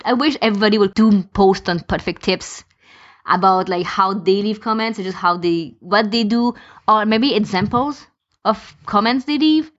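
A woman's voice: medium pitch, normal speed. A woman in a casual podcast discussion, giving her opinions and explaining them through lists of examples. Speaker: podcast speaker, fan podficker. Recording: dull lo-fi voice recording.

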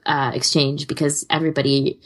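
A woman co-hosting a podcast in casual chat, with an enthusiastic manner and a husky voice. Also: deep voice, little pitch movement, fairly quick. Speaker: podcast co-host.

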